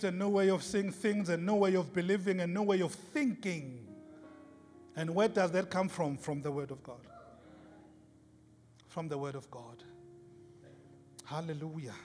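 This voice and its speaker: A man preaching an impassioned sermon in an emphatic, repetitive, rhetorical style. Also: fairly high pitch. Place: large reverberant indoor worship space. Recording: hall reverb with music behind.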